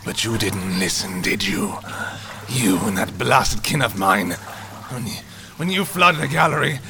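An actor playing a menacing, obsessed old miner with a gravelly voice in a radio drama. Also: male voice, mid-range voice, animated, unhurried, noisy background. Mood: cold menace. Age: older. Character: menacing, obsessed old male Cornish-mine miner, half mad.

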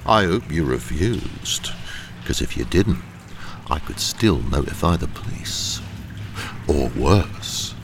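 A menacing criminal boss acted by a man in a radio thriller, with a deep voice that swoops in pitch. He gives orders coldly, over faint mine ambience. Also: expressive, takes it slowly, background noise, heavily acted. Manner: smoothly threatening, commanding.

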